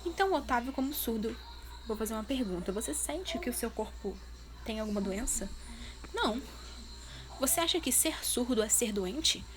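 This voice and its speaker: Brazilian woman vlogger, a bit high-pitched, emphatic, speaks straight to her viewers. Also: animated, moderate pace, noisy background. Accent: Brazilian Portuguese. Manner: emphatic, talks straight to viewers, repeats questions. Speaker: vlogger voicing her deaf partner's signing. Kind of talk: casual opinion vlog.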